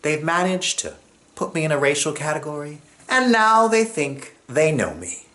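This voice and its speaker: A man from Jamaica telling a personal story on stage, expressive and acting out what other people say. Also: on the high side, moderate pace.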